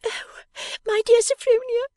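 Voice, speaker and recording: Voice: very high voice, little pitch movement, a little slow, mellow, no echo, clean studio sound, pitched above the performer's own voice. A reader voicing a silly, tearful young lady who gushes breathlessly while sobbing. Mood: tearful gushing.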